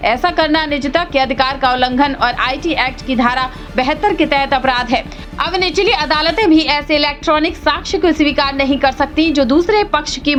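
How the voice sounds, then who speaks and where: A woman reading scripted news as a voice-over in a brisk, formal manner. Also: high-pitched, fairly bright tone. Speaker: news reader.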